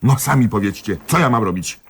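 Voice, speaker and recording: A low-voiced, exasperated police commissioner. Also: male voice, fairly quick, breathy edge. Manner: stern, lecturing. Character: exasperated, bossy male police commissioner, pedantic about rationality. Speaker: actor in radio play. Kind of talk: radio drama acting.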